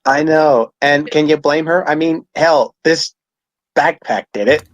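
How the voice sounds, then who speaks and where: A man's voice: a little high, measured pace, muffled recording. A man co-hosting a casual fan discussion, talking informally with some mild swearing. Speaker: podcast co-host.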